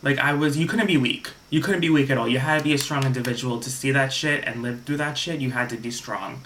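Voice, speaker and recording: A man's voice: medium pitch, quick pace, fairly bright tone, slight background noise. A young man from Staten Island, telling a rambling casual story to camera and restarting his sentences often. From Staten Island, New York. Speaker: vlogger.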